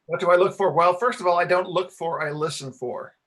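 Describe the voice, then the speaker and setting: A man's voice: high voice, a little fast, fairly bright tone. A man answering questions as a radio play writer and director at a live Q&A, explaining things in a hesitant, rambling way. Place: talk before a live audience.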